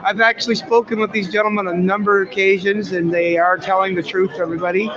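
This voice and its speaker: A hoarse man livestreaming outdoors as an independent journalist, rambling with many fillers and restarts. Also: high voice, flat delivery, fast talker. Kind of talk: casual live stream commentary. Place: outdoors among a crowd near a press conference. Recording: muffled phone audio with loud crowd.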